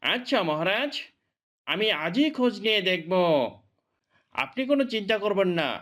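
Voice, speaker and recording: An eager royal minister from a cartoon, played by a man, high in pitch for a male voice. His manner is formal, obedient and deferential. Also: expressive, moderate pace, fairly bright tone. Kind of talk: cartoon voice acting.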